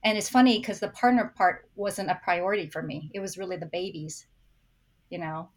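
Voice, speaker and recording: A woman's voice: lowish voice, fairly even intonation, normal speed. A middle-aged woman, born in San Francisco and raised in Hong Kong, talking reflectively as a podcast guest and often restarting her sentences. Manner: reflective, with frequent restarts and fillers. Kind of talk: casual interview talk.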